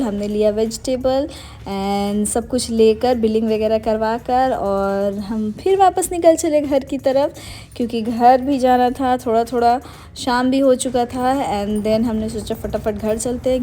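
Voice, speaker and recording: A woman's voice: on the high side, unhurried, mellow. A young adult woman narrating a casual daily-life vlog, chatty and meandering, mixing English words into her Hindi. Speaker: vlogger.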